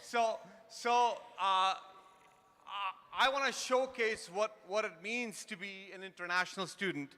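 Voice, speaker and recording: A man's voice: sits high, measured pace, bright, forceful tone. A man in his fifties, a university administrator, giving short impromptu remarks on stage, hesitant and repeating his words. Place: large event hall on stage. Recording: reverberant live hall.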